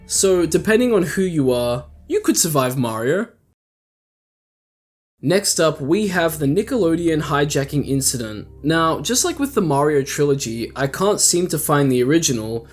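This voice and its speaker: Man, slightly high voice, casual video-essay host, wry, conversational, asides, music underneath. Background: background music.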